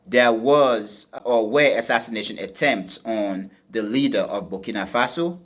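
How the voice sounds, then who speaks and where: Husky low-voiced man giving political commentary. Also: fairly bright tone. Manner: deliberate, emphatic, repeats and rephrases phrases. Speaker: podcast host and political commentator.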